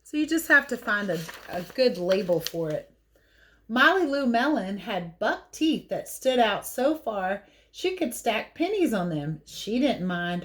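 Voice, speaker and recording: A woman with a mid-pitched voice reads a picture book to children as their teacher. Her delivery swings widely in pitch and is expressive and playful. She slips easily between storytelling and chatty remarks on the pictures. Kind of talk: animated read-aloud storytelling with casual asides.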